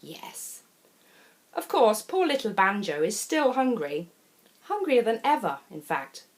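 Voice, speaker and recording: A woman narrates a children's picture book. Her pitch moves widely and her delivery is theatrical. She speaks straight to the young listener with chatty asides. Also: very animated, slow pace. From England. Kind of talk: expressive children's storybook narration.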